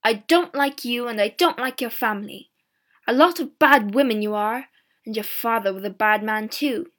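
A woman voicing a grumpy, indignant fat male peddler of fifty in a storybook, speaking slowly and emphatically. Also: high-pitched, animated, a bit bright. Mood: gruff, indignant. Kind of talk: storybook character acting.

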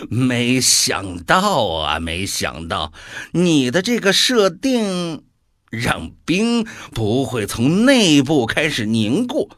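An actor playing a scheming, taunting devil in a radio drama, musing aloud in a theatrical, deliberate way. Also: male voice, mid-range voice, a bit bright, no echo, studio quality. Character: scheming male devil, amused, taunting creator of worlds.

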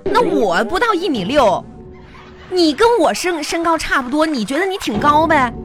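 A brusque female office manager in a radio comedy. Her voice is high and very animated, with a sarcastic, exasperated edge and curt delivery. Music plays behind her. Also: moderate pace. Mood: exasperated, mocking. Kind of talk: radio comedy sketch acting.

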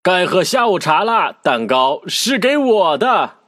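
A man's voice: high voice, sing-song, measured pace, a bit bright, heavily acted. A man playing a jolly, secretive baker in a children's audio drama, playful and teasing, with music behind. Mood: cheerful. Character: jolly, secretive male cake-shop baker.

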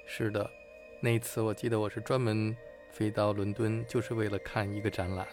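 Music plays clearly behind a man with a somewhat low voice. He talks as a podcast co-host, in a casual but measured and explanatory manner. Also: little pitch movement.

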